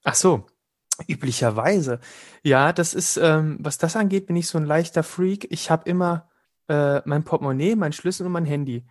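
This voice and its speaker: A man's voice: a little high, normal speed, no echo, clean studio sound. A young man answering personal questions casually, chatty with hesitant fillers. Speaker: interviewee.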